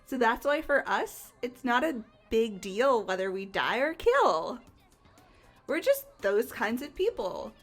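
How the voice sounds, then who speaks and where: Woman playing a slow, flippant teen girl villain. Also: fairly high pitch, sing-song, soft background music, exaggerated voice. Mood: flippant, callous. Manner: flippant, mocking, drawling. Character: gleefully callous female teen mastermind of despair. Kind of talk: amateur voice acting of a video game.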